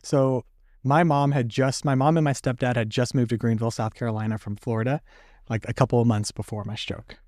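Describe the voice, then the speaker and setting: A man's voice: mid-range voice, fairly even intonation, a little fast, a little mellow, no echo, clean studio sound. A young man, a podcast guest, telling his story candidly with many fillers and some laughs. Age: young adult. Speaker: podcast guest, stroke survivor. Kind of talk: casual conversation.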